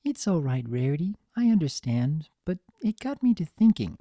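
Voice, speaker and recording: A reader voicing a gentle, anxious young female pony with a soft, hesitant, earnest manner in a story reading. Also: medium pitch, big pitch swings, moderate pace, no echo, studio quality, pitched above the performer's own voice.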